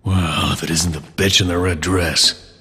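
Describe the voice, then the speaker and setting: A man's voice: low voice, fairly even intonation, measured pace, slight background noise. An actor playing a scarred, menacing male commando who taunts and threatens, in a video game cutscene. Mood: taunting. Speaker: mercenary villain. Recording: game cutscene with loud music.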